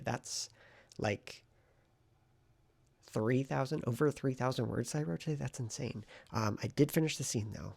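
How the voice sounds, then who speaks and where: A man's voice: medium pitch, vocal fry, a little mellow, husky. A man talking casually to his vlog audience, rambling informally as he thinks aloud. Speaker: vlogger and hobby novelist. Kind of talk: casual writing vlog chat.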